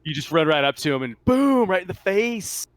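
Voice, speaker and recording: A man's voice: sits high, big pitch swings, moderate pace, a bit bright. Man casually running a tabletop game. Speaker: game master.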